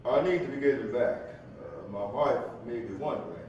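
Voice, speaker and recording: A man's voice: mid-range voice, expressive, moderate pace, darkish tone, slight background noise, lightly acted. Muffled, far-off recording in a very echoey hall. A man acts a courteous, guarded preacher, uneasy and reserved, in stage dialogue. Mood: polite, uneasy.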